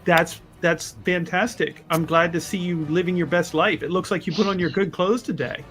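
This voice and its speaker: A man's voice: sits high, fairly even intonation, moderate pace, fairly bright tone, faint music behind. A man playing a cheery, chatty university researcher in a tabletop roleplay, with upbeat, eager, teasing delivery.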